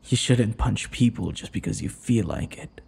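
Man acting a breathy, low-voiced seductive vampire. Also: expressive, a little mellow, heavily acted. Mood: taunting, flirtatious. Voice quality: breathy, often dropping into whispers. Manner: teasing, theatrical, drawn-out taunting with chuckles. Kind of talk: ASMR audio roleplay, intimate acted monologue.

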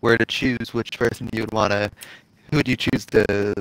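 A man makes casual gaming chat in a lowish voice with plenty of fry. His phrasing is halting, and the sound is dry and close. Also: flat delivery, fairly bright tone, no room sound, poor audio.

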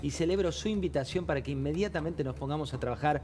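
A male journalist with a slightly raised pitch, reading and commenting on news in a studio. Faint noise sits behind the voice. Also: fast, darkish tone. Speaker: news panel journalist reporting reactions.

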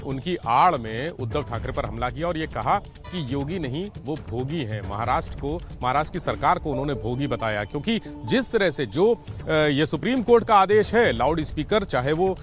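Middle-aged male reporter, slightly high voice. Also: animated, moderate pace, few pauses, faint music behind. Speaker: field news reporter. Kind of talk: live unscripted report. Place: outdoors on a city street. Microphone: handheld microphone.

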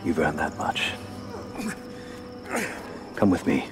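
Very deep, grave elder leader. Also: male voice, fairly even intonation, loud music behind the voice. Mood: solemn. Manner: grave, measured. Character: solemn bearded male leader of an ancient mountain people. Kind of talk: cinematic game acting. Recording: loud orchestral score behind.

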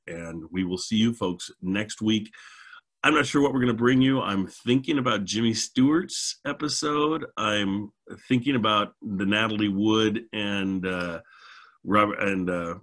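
A man's voice: lowish voice, a bit bright. A middle-aged man hosting in a casual, rambling, upbeat way over a video call. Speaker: show host. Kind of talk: casual chat, signing off.

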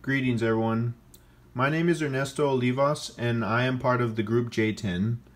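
A young man narrating a product demonstration as a student presenter, phrasing his words in a halting, deliberate way. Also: mid-range voice, steady tone. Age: young adult.

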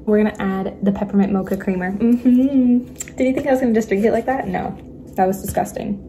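A young woman chatting casually in her home kitchen at night, giggling and often dropping to a hushed voice, recorded on a phone camera on the counter. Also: medium pitch, fairly quick, background noise. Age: young adult. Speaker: vlogger. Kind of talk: casual cooking vlog chat.

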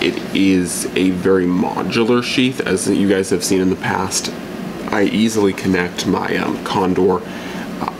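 Low-voiced young man reviewing gear. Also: background noise. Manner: rambling, many fillers and restarts. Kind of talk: casual gear review talk. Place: indoors at a workbench.

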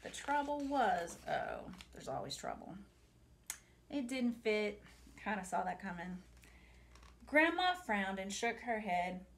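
A woman in her forties reading a picture book aloud to children in an expressive, deliberate way, with asides to her young listeners. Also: medium pitch, creaky. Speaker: storytime reader for a museum family programme. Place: small home room, indoors.

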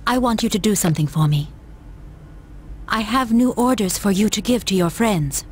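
A woman playing a cold, aloof young female antagonist in a video game, detached and deliberate in delivery. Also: medium pitch, animated, a little hiss or hum, close to an everyday voice. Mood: cold, commanding.

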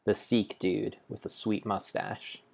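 A young man narrating gameplay as a solemn, deliberate, theatrical story. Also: on the low side, level tone, vocal fry, a little hiss or hum. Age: young adult. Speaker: game streamer. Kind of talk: dramatic storybook-style narration over gameplay.

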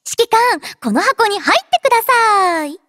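Anime game android girl, extremely high voice, lively swings, childlike, playful, boastful. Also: normal speed, no room sound, studio quality, exaggerated voice. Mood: showy, playful. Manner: playful, childlike, refers to herself by name. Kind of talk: anime game character voice lines. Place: dry studio.

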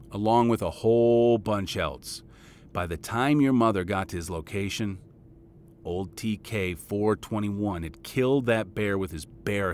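A man playing a devoted father and veteran intelligence monitor in an audio drama, speaking in a measured, matter-of-fact way. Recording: audible low rumbling background noise.

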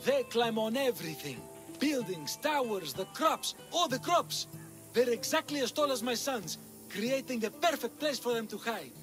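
A harried father in a video game, high-voiced and exasperated, announcing things with theatrical grandeur. Clear game music runs under him. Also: big pitch swings, moderate pace. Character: harried middle-aged father of three unruly sons.

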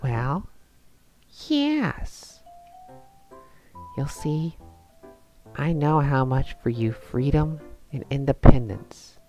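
A woman voicing a lovestruck, earnest young female hedgehog in a cartoon comic dub, sweet but pushy, with coy, drawn-out emphasis. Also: a bass voice, expressive, warm tone, soft background music, full character voice, rough recording. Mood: earnest, loving. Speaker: voice actor in a fan comic dub.

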